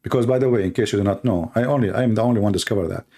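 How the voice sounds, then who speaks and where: A man from the Middle East, a native Arabic speaker with an Arabic accent, hosting an informal live call-in show in a sarcastic, mocking manner with halting phrasing. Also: lowish voice, warm tone. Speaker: host, religious apologist. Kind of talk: live call-in broadcast, rambling informal commentary.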